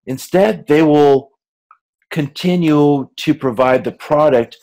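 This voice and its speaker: A man lecturing as a university instructor, explaining slides informally in a halting way, repeating words and correcting himself as he goes. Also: on the high side, darkish tone.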